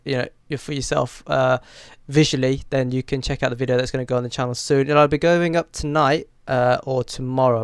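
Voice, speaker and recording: Brief: male gaming host, mid pitch, croaky from a cold, chatty and filler-heavy, dry close mic. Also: no echo. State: has a cold, stuffy and croaky. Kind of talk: casual news rundown to camera.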